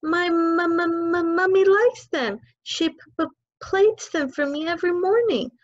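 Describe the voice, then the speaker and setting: Brief: a woman playing a scared small girl, stammering, pitched very high, dull dry recording. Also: a little slow, no room sound. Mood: frightened. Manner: stuttering. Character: terrified little girl of ten, stuttering.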